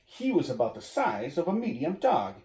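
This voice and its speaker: A man reading a children's storybook aloud in an expressive way, with drawn-out emphasis, as if talking to young listeners. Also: fairly high pitch. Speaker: community education director reading to children. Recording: roomy echo.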